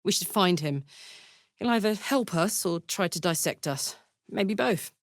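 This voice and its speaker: A woman's voice: lowish voice, animated, a little slow, no room sound, clean studio sound. An actress playing a brash, big-hearted tiefling warrior woman in a video game, with a blunt, informal manner that turns from playful to tender. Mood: wry, musing. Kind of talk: video game character voice lines.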